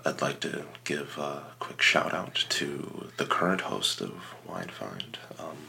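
A man playing a reverent small-town radio devotee on an improvised comedy podcast, speaking in a halting, hushed whisper. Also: extremely low pitch, big pitch swings, gravelly, full character voice. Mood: hushed reverence. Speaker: podcast guest and producer. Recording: noticeable background noise.

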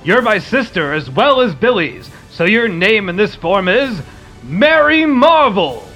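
A man's voice: sits high, sing-song, measured pace, sharp tone, soft background music, exaggerated voice. A man playing a confident, kindly big-brother superhero in an amateur comic-book radio play, on a dull lo-fi recording.

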